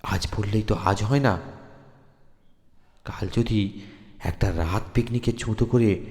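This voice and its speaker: A man narrates a horror story in the first person, with theatrical, deliberate phrasing. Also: lowish voice, a little mellow. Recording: long reverb, music underneath. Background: background music.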